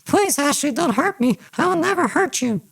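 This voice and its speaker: A man voicing a terrified young man pleading for mercy in a told story, whimpering and raspy. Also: extremely high pitch, animated, normal speed, a bit bright, no echo, pitched above the performer's own voice, heavily acted.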